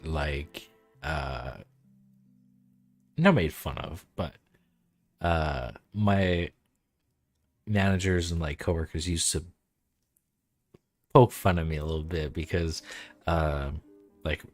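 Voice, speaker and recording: Young man, very deep calm voice, easygoing rambling chat, faint guitar music behind. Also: slow pace, pauses often, no echo. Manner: laid-back, rambling, heavy use of "like". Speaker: game developer streaming. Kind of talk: casual stream chat.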